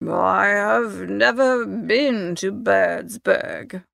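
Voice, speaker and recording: A pompous old English duke from a comic storybook, acted out by a woman. The speech is stiff, formal and easily affronted, with a creaky edge in the voice. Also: mid-range voice, very slow, fairly bright tone, no room sound, clean studio sound, exaggerated voice. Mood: indignant. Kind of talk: audiobook character reading.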